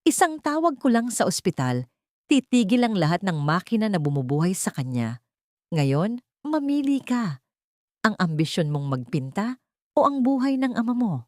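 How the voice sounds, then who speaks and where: A woman's voice: medium pitch, big pitch swings, normal speed, dry recording, clean studio sound, close to an everyday voice. A woman voicing a cold, haughty old rich matriarch in a dramatic audio story, with an imperious, cutting manner. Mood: threatening.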